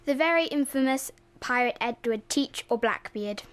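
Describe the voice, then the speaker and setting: A woman's voice: sits high, moderate pace, smooth, natural voice. A young woman playing a self-important, touchy historian narrating a mock documentary in a radio play. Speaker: drama student.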